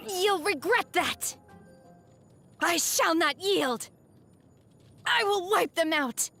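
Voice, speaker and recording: A woman's voice: very high voice, lively intonation, gravelly, soft background music, exaggerated voice. A woman playing a solemn, aloof young exorcist raised by immortals, speaking formally and earnestly as a video game character. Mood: fierce, commanding. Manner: measured, stoic, formal, softly earnest.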